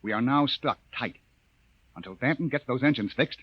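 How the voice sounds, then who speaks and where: An actor playing a gruff, short-tempered, commanding spaceship captain in a 1950s radio drama. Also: male voice, medium pitch, normal speed, no room sound, close to an everyday voice. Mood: exasperated. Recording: muffled, noisy 1950s radio recording.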